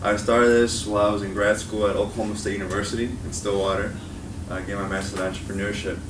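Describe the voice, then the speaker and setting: A man's voice: fairly low pitch, little pitch movement, fast. A young man with a Chicago accent, giving a casual talk to college students as a guest speaker and often checking in with "right?". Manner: conversational, often tags points with "right?". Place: meeting room at a college. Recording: echoey room from far off, noisy.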